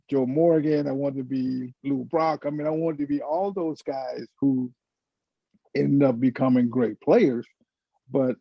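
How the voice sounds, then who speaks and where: Casual panelist: middle-aged man from Florida, heavy vocal fry, hesitant delivery, muffled video-call sound. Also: mid-range voice, dry recording. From Florida, United States. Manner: halting, hesitant, many fillers and restarts. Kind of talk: casual reminiscing in a panel discussion.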